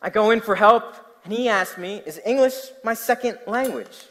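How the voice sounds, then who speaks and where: Young jersey guy preaching, voice sits a bit high, big reverby hall. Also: moderate pace. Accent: New Jersey. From New Jersey. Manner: animated storytelling, asking the audience questions. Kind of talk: sermon.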